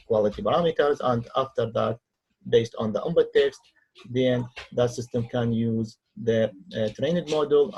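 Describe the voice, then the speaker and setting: A man who speaks English as a second language narrates a software tutorial as a researcher, in a halting way with hesitant pauses. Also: medium pitch, breathy edge, highs cut off. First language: not English. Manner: halting, non-native phrasing with hesitant pauses. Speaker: researcher demonstrating own software. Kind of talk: tutorial screen-recording narration.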